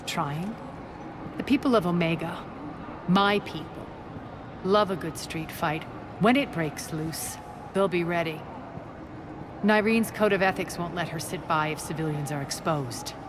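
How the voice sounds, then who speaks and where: A woman's voice: on the low side, unhurried, long pauses, background noise, close to an everyday voice. A woman playing a ruthless, imperious alien crime queen in a video game, commanding, haughty and contemptuous. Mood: smug, scornful. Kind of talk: cinematic video game acting. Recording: game music behind the voice.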